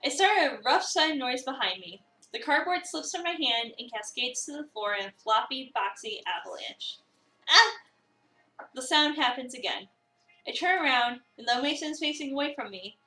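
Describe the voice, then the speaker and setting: A woman reading a visual novel as an eager, awkward new cafe employee, chatty, expressive and self-deprecating. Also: high-pitched, moderate pace. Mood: startled, self-deprecating. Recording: roomy, a bit far from the mic.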